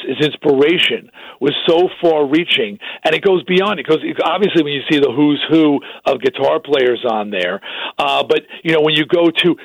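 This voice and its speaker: An older man with a gravelly voice, chatting casually as a radio co-host and telling stories with many restarts. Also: on the high side, a bit bright, dry recording, low-quality recording. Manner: talkative, storytelling with restarts. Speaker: radio co-host and rock singer. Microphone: studio microphone.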